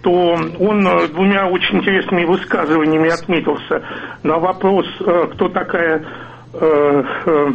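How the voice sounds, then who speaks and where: Husky man on a muffled line. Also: a little high, fairly even intonation, normal speed. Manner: courtly, ironic, rambling with hesitations. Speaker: caller. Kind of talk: phone-in comment.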